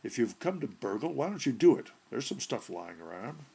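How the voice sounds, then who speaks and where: A man voicing an irritable, solid middle-aged citizen in a short story reading, curt and grumbling, with a gravelly, husky voice. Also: mid-range voice, big pitch swings, normal speed, mellow, exaggerated voice.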